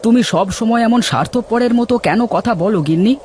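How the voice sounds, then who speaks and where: A man's voice: very high-pitched, quick pace, a little mellow, a little hiss or hum. A man voicing village men in a Bengali cartoon moral tale, with theatrical, emphatic delivery. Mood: worried, exasperated. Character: village men of a moral tale, from scheming fruit seller to distraught farmer. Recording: music behind the voice.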